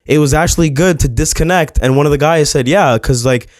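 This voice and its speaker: A man's voice: a little high, brisk, dry recording, clean studio sound. A man co-hosting a podcast, telling stories in casual chat, swearing freely and stumbling over words. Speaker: podcast co-host.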